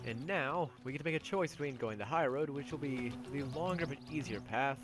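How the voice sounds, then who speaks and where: Male let's-play host, average pitch, loose and self-deprecating chatter over very prominent music. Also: brisk. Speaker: video game player and channel host. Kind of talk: casual let's-play commentary. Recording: loud game music behind voice. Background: loud game music.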